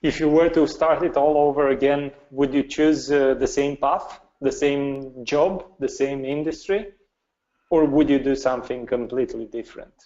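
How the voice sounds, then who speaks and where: A male host poses interview questions hesitantly, pausing and filling gaps. His voice sits at a mid pitch. The audio is dull and lo-fi, as from a video call. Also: steady tone, mellow. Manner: hesitant, many fillers.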